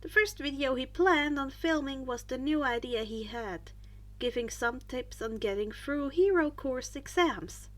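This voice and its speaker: A woman reading fan fiction aloud as an expressive story narrator. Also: sits high, measured pace.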